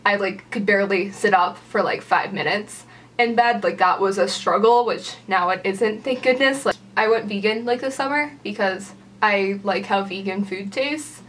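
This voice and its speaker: A woman's voice: mid-range voice, a bit bright, recorded from a distance. A young woman vlogging casually at home, rambling with lots of "like" fillers while gesturing. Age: young adult. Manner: chatty, lots of "like" fillers, gestures with her hand. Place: small room at home, indoors by a door.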